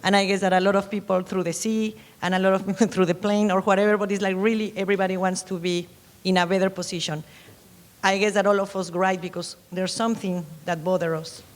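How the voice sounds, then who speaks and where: A woman from Mexico speaks with a Spanish accent, her phrases halting as she searches for words. Her voice sits in a medium register and rings out in a long hall reverb. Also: mid-range voice, level tone. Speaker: panelist author. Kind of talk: panel discussion. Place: lecture hall.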